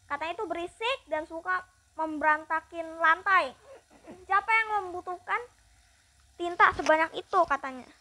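A woman's voice: very high voice, moderate pace, pushed and bright. A young woman with an Indonesian accent giving casual let's play commentary as a gaming streamer.

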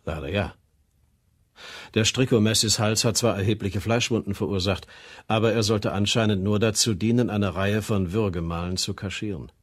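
A man acting as a precise, sceptical pathologist in a German radio crime drama, explaining in a measured, clinical way. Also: fairly low pitch, fairly even intonation, natural voice. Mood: clinical, firm. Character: precise, sceptical male pathologist reporting autopsy findings.

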